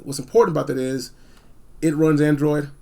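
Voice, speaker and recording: A man hosting a casual, unscripted gadget review, talking in an animated, emphatic way and repeating phrases for effect. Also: a little high, fairly quick, gravelly. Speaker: product reviewer hosting a video.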